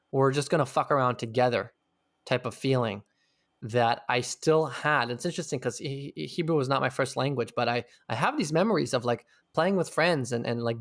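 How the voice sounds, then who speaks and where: A man's voice: medium pitch, no echo, studio quality. A man being interviewed, talking in a thoughtful, searching way and swearing casually now and then. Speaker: interview guest. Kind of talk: reflective conversational interview.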